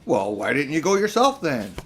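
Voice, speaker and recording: A man's voice: on the high side, huge pitch swings, normal speed, a bit bright, a little hiss or hum, heavily acted. A man voicing an outspoken schoolboy nephew in a storybook, blunt, accusing and defiant. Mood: challenging. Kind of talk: storybook character reading.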